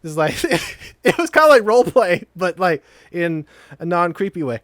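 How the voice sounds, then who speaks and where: A man's voice: high-pitched, very animated, moderate pace, a bit bright, dry recording, studio quality. A man chatting casually and playfully as a let's play co-host, rambling as he reacts. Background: game music.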